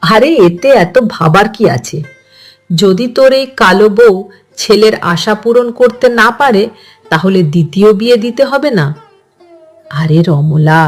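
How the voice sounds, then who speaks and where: A woman voicing a gossipy, scheming older grandmother in a cartoon story, with a chatty, pushy, persuasive manner. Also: medium pitch. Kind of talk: Bengali cartoon story dialogue.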